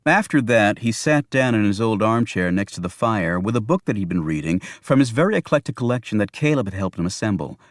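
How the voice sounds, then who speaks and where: Male narrator, lower register, scripted thriller audiobook, very dry sound. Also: animated, a little fast, hardly any pauses, no room sound.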